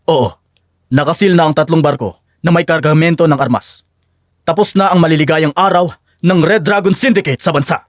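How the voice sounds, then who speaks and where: An authoritative male director of agents acted by a woman pitching her voice well down in an audio drama. He is brisk, approving and businesslike, in a dry recording. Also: no echo, close to an everyday voice. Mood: satisfied, businesslike. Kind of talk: dramatic audio story acting.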